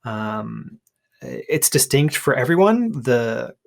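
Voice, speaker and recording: A man's voice: mid-range voice, sing-song, takes it slowly, vocal fry. A man hosting a podcast and coaching in a casual, conversational way.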